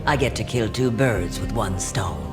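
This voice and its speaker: A woman's voice: extremely low pitch, expressive, a little slow. A woman voicing a cold, scheming female villain boss who taunts her enemy over game music. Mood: taunting. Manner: taunting, smug.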